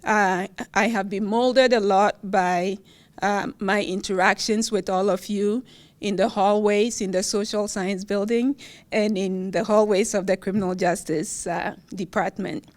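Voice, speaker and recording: A woman's voice: mid-range voice, fairly even intonation, a little slow. Professor, woman, middle-aged, Ghanaian accent; podium acceptance speech, cheerful, fillers and laughs. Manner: warm, laughs often, with fillers. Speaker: award-winning professor giving acceptance speech. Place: university event hall.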